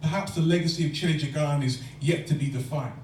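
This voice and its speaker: Poet reciting in an echoey hall. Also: male voice, fairly high pitch, quick pace. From London. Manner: rhythmic rhyming delivery. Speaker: spoken word poet. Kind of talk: spoken word poetry performance. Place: lecture hall. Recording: echoey hall from far off, faint hum.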